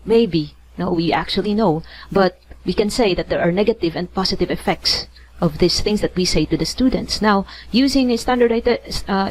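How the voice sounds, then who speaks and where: A woman's voice: mid-range voice, a little mellow, low-quality recording. A woman from the Philippines with a Filipino accent, giving a conversational lecture, thinking aloud with restarts and tag questions. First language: not English. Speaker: teacher. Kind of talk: online lecture.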